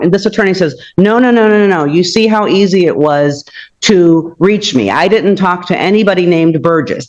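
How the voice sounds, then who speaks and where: A woman's voice: lowish voice, a little mellow, lo-fi sound. A woman speaking as a defense attorney in court, explaining her argument in an insistent manner.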